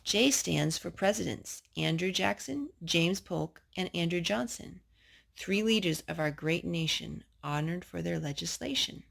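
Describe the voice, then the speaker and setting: A woman with a slightly husky voice reading a children's picture book aloud as a storytime host. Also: fairly low pitch, lively intonation, a little slow, fairly bright tone.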